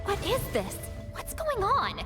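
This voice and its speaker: A woman's voice: extremely high pitch, huge pitch swings, slow pace, heavily acted. An actress playing a blunt, proud video game character who is easily flustered, with music behind her. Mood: flustered surprise. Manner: blunt, casual, hesitant when flustered.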